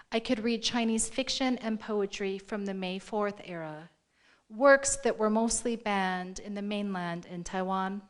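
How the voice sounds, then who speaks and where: A woman reading a formal award-ceremony speech aloud in a measured, deliberate way. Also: mid-range voice. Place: live event room before an audience.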